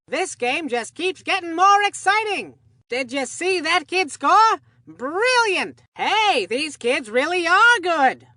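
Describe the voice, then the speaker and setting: A woman voicing an excitable, folksy young baseball commentator in a cartoon video game, gushing in old-time slang. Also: very high-pitched, no echo, clean studio sound, full character voice. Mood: excited. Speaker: sports commentator. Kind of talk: scripted game sports commentary.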